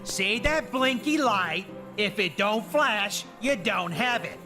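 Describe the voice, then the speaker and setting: Grouchy furry creature, gruff wisecracks, curt, comic game, music behind. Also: male voice, high-pitched, expressive, takes it slowly. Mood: grumpy, sarcastic. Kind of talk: comic video game character acting.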